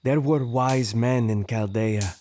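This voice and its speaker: An actor in an audio drama playing a young, respectful Magus, speaking in a measured, formal way. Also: male voice, medium pitch, warm tone, slight background noise, lightly acted. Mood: measured, reverent. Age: young adult. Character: Abdus, youngest male Magus, respectful and attentive.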